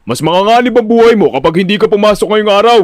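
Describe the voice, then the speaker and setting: A man voicing a gruff, threatening loan shark boss in a Filipino audio drama, barking his demands. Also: sits high, animated, quick pace, dry recording, studio quality, pitched above the performer's own voice. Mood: angry, menacing.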